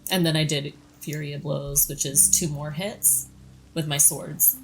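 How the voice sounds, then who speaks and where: Slow, low-voiced woman playing a tabletop game. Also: steady tone, creaky, poor audio. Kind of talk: casual game-table chat. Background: music bed.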